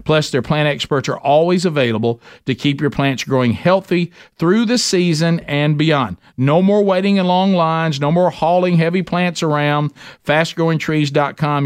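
A man's voice: on the high side, clear, resonant tone, dry recording, studio quality. A man with a Southern American accent, hosting a podcast in a casual, joking, folksy way and often repeating his phrases. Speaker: podcast host. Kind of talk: casual podcast chat and ad reads.

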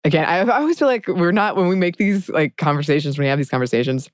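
A woman co-hosting a podcast, talking candidly and reflectively about her self-doubt, often pausing and restarting her sentences. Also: lowish voice, expressive, dry recording, clean studio sound. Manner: earnest, reflective, halting with restarts. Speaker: podcast co-host. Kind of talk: candid conversational podcast chat.

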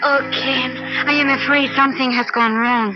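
A woman's voice: high voice, steady tone, normal speed, close to an everyday voice. A woman playing an earnest, anxious ally of the hero in an old radio drama, with careful, formal phrasing. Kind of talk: old-time radio adventure drama acting. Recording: dull vintage radio recording, loud music.

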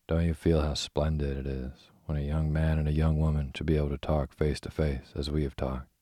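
Man reading as a poised young woman, gently reproving. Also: extremely low pitch, flat delivery, a little fast, dry recording, studio quality. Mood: gentle reproof.

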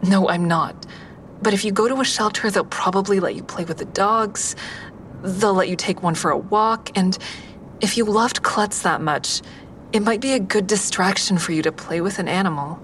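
A woman playing a calm, candid crisis line counselor in an audio drama, speaking in a measured, consoling way. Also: medium pitch, a little hiss or hum, natural voice. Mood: firm, encouraging. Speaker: crisis line counselor and inner-monologue narrator. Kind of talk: audio drama acting with first-person asides.

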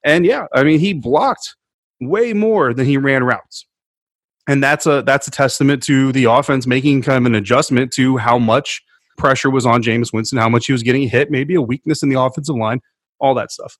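A man's voice: medium pitch, moderate pace, no room sound, studio quality. A man co-hosting a sports podcast, talking through his analysis in a conversational, explanatory way.

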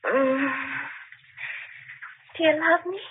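An actress playing a clingy young sweetheart, coy and syrupy, in 1940s radio style. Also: female voice, high voice, slow, narrow, tinny audio. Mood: cooing, coy. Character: clingy, lovesick young sweetheart saying goodnight. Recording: noisy, thin 1940s radio recording.

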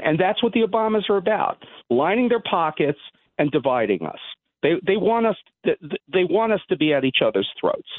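A man calling in to a radio show who gives a heated, halting opinion with many restarts. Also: on the high side, no room sound. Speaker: caller. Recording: tinny phone line.

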